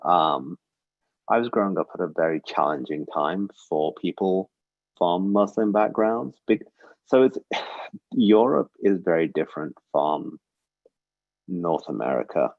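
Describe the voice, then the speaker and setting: A man's voice: deep voice, animated, measured pace, gravelly, dry recording, narrow, tinny audio. A man in his forties giving an earnest, reflective personal talk, with frequent ums and uhs. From Britain. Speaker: guest speaker. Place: synagogue livestream.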